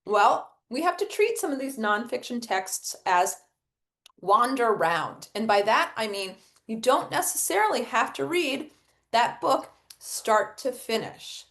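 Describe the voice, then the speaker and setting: A middle-aged woman presents an educational webinar in a fairly high voice. Her pitch moves in a lively, expressive way as she explains her points, often asking a question and then answering it. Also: a little slow. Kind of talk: instructional webinar presentation with acted read-aloud examples.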